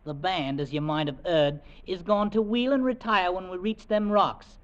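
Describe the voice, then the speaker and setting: A man's voice: high voice, normal speed, muffled recording, natural voice. An actor playing a young army drummer boy, cheeky and boastful, eager to win a medal. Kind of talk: 1940s radio acting. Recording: old radio broadcast with hiss.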